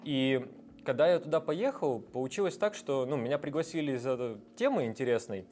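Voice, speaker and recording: A man's voice: medium pitch, animated, fairly quick, darkish tone, soft background music. A man guest in a casual interview, explaining technical ideas at length with frequent hesitation sounds. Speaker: guest, software developer.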